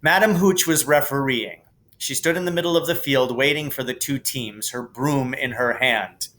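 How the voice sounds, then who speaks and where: A man's voice: mid-range voice, moderate pace, fairly bright tone. A man reading a storybook aloud as scripted narration. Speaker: audiobook reader, teacher.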